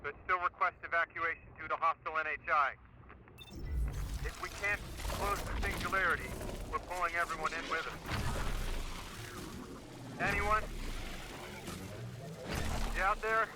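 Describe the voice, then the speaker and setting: A male actor plays a desperate crewman in a sci-fi game. His high voice is strained and urgent, with clipped technical phrasing. Clear engine-like noise surrounds the radio transmission. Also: measured pace, pauses often, lightly acted. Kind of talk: scripted video game acting.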